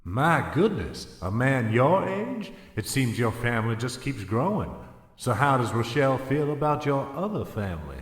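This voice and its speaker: An actor playing a cold, controlling schemer in an audio drama, with a smug, mocking and deliberate manner. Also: male voice, medium pitch, very animated, lightly acted. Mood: smug, taunting. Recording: echoey hall, music underneath.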